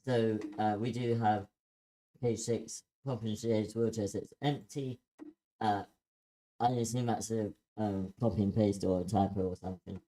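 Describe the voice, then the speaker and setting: Low, mellow man giving hesitant feedback. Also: fairly even intonation, poor audio. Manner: hesitant, halting delivery. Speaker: participant giving script feedback. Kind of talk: informal group critique.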